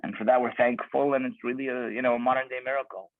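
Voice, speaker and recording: A man's voice: mid-range voice, fairly even intonation, fast talker, no echo. A man speaks as a rabbi over a video call, earnest and prone to repeating words. The sound is thin and phone-like, with a dry, close feel. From Canada. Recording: tinny video-call audio.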